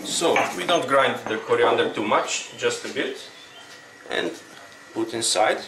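A man with a Croatian accent giving an informal cooking demonstration, explaining things in a halting way. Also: medium pitch, expressive. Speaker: cooking instructor. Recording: roomy, distant mic with clear background noise.

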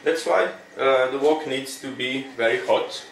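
A man with a Croatian accent giving an informal cooking demonstration, explaining things in a halting way. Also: medium pitch. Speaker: cooking instructor. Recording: roomy, distant mic with clear background noise.

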